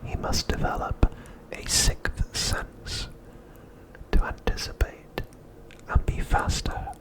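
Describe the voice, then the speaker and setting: A man narrating an ASMR recap in a breathy, unvoiced whisper, with deliberate phrasing broken by short pauses. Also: rough recording. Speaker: ASMR host.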